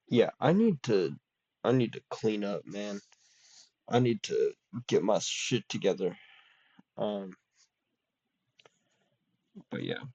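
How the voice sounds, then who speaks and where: A young man talks slowly and loosely, his slightly nasal voice turning gravelly and creaky. He rambles with many ums and restarts. The recording is dull and lo-fi, with a dry sound. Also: lowish voice, darkish tone, no room sound. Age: young adult. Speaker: vlogger. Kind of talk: casual solo chat.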